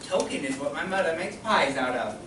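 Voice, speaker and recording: An actor playing a dim, cheerful sidekick in a vintage radio comedy double act. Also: male voice, fairly high pitch, lively intonation, brisk, a bit bright. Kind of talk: old radio comedy acting. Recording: echoey stage, far mic, faint noise.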